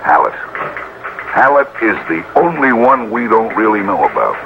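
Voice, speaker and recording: An actor playing a gruff, suspicious police lieutenant in a 1950s radio crime drama, on a noisy old broadcast. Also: male voice, lowish voice, very animated, moderate pace, lo-fi sound.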